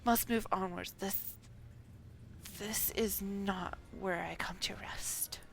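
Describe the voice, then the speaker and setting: A proud, wounded fantasy game man, acted by a woman reading aloud, bitter and defiant. The delivery is theatrical and bright-edged, with music underneath. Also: medium pitch, fairly even intonation, slow pace, in a whisper. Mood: strained.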